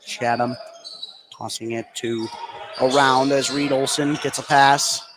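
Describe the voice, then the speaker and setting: A man's voice: medium pitch, fairly even intonation, a little slow. A man doing live play-by-play basketball commentary on the radio, narrating in short clipped phrases. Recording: noisy gym ambience behind. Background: ambient noise of a basketball game.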